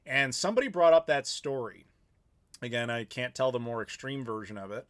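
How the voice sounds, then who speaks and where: A man's voice: mid-range voice, a little fast, fairly bright tone. A man in his thirties hosting a casual live Q&A, chatting loosely and reading viewer messages aloud. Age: 30s. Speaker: YouTuber host.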